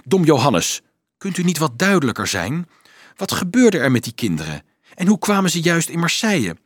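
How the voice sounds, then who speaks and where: A man acting out an indignant teenage boy in an audiobook story. The pitch rises and swings widely as he presses for answers in urgent, disbelieving questions. The sound is close and dry. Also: very animated, no echo. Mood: urgent questioning. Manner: urgent, insistent questioning, halting with shock. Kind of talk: dramatised audiobook reading.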